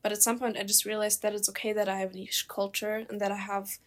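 Flat-voiced young woman, German accent. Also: medium pitch, fast. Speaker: discussion moderator. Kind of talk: casual conversation.

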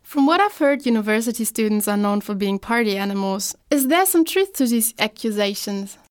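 A woman's voice: on the high side, lively intonation, a little fast. A young woman hosting a scripted talk show, presenting in an upbeat, read-out way.

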